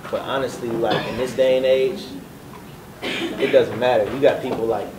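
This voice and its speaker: A man's voice: mid-range voice, fairly even intonation, moderate pace, a crowd in the background. A man talking casually and emphatically as a music artist on a panel, in a noisy, echoey room. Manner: emphatic, repeats "you know what I'm saying". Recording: echoey room, distant, clear background noise.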